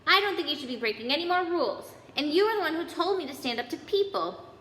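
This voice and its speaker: Storybook schoolboy, read by a woman: high, earnest, stubborn and nervous, echoey room. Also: big pitch swings, quick pace, sharp tone, exaggerated voice. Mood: defiant, anxious. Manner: earnest, insistent. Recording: echoey hall, background noise.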